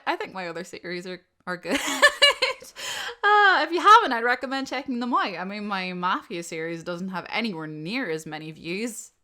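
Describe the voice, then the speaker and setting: A woman's voice: medium pitch, sharp tone. A woman who creates audio dramas, talking casually and unscripted as she answers subscriber questions in a rambling, self-deprecating way.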